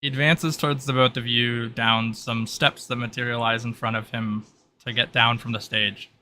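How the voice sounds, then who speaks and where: A man narrating a tabletop game as game master in a halting, improvised, deliberate way. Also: medium pitch, level tone, pushed and bright, a little hiss or hum.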